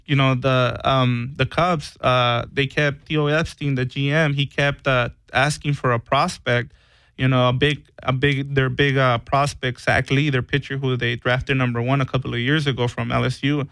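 A man's voice: mid-range voice, little pitch movement, normal speed, fairly bright tone, no echo. A man co-hosting a sports radio show, talking casually with lots of fillers and restarts. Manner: hesitant, many fillers and repeated words.

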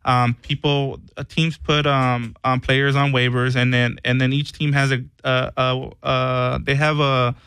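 A man's voice: mid-range voice, little pitch movement, a little slow, few pauses, a bit bright, smooth, no echo. A man co-hosting a sports radio show, talking casually with lots of fillers and restarts. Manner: hesitant, many fillers and repeated words.

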